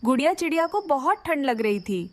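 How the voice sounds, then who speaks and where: Lady narrator for kids cartoon story, high pitched, really animated reading. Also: brisk, no echo.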